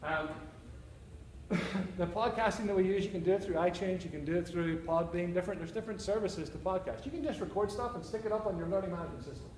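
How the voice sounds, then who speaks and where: A man's voice: sits high, fast talker, darkish tone, a little hiss or hum. Northern Irish man presenting in an echoey room. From Northern Ireland. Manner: joking, checks in with the audience often. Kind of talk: conference talk. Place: conference room. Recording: echoey room, faint ambience.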